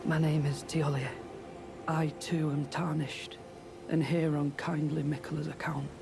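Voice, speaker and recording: A man playing a gentle, diffident warrior in a fantasy game, with a creaky voice and hesitant, polite manner. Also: a little high, flat delivery, unhurried. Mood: diffident, friendly. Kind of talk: dramatic fantasy game dialogue. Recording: clear background ambience noise.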